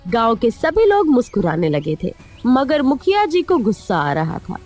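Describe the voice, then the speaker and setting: A woman's voice: fairly high pitch, wildly swooping pitch, moderate pace, a little mellow, faint music behind. A woman narrating a scripted cartoon moral story as a storyteller.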